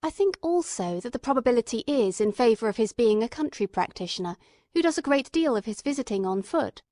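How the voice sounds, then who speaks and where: A woman's voice: on the high side, animated, fairly quick, warm tone, no room sound, clean studio sound, lightly acted. A woman playing an earnest, eager male doctor and companion who narrates a detective audiobook in careful, literate storytelling. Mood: earnest, reasoning.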